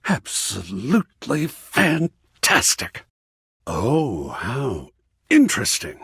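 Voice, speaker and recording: Flamboyant gravelly art lover, wildly swooping. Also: male voice, medium pitch, huge pitch swings, slow pace, fairly bright tone, no room sound, studio quality, full character voice. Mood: awed, intense. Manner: theatrical, effusive, grandiose, verbose. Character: flamboyant, excitable male art lover, pretentious and dramatic. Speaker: voice actor in a video game. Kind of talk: theatrical video game character acting.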